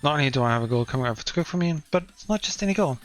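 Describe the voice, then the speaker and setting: A man's voice: fairly high pitch, animated, racing, soft background music, close to an everyday voice. A man reading aloud as a wry, self-deprecating teenage schoolboy narrating his own story from a visual novel, in a dry manner.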